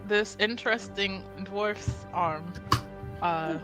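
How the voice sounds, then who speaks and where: A woman takes part in a tabletop game with casual, joking chat. She speaks at a slow, unhurried pace in a mid-range voice, with music clearly audible behind her. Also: mid-range voice.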